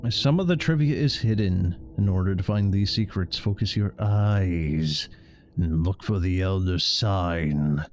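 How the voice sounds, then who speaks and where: A man's voice: low-pitched, measured pace, a little mellow, no echo, faint music behind. A man doing casual game stream commentary in a rambling, sardonic, sweary way. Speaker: livestreamer.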